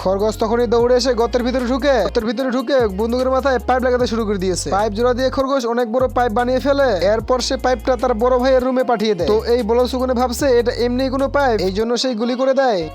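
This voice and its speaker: A man's voice: very high-pitched, steady tone, racing, hardly any pauses. A man doing informal comic narration, mocking and full of exclamations. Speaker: cartoon recap narrator. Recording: music behind the voice. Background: background music.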